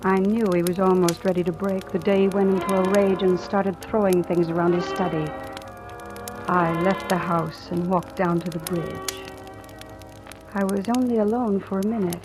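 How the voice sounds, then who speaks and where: A woman's voice: lowish voice, fairly even intonation, normal speed, lightly acted. An actress playing a guarded, probing woman telling her suspense story in old-time radio drama style. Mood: brooding, uneasy. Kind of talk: 1940s-50s radio drama acting. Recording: old radio recording, music behind.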